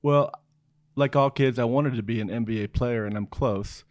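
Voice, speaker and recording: A man's voice: mid-range voice, normal speed, no echo. Easygoing middle-aged man telling stories. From Oregon. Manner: easygoing storyteller, chuckles through answers. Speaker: guest, design executive. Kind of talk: casual interview chat. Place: small podcast studio. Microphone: studio mic on boom arm, headphones.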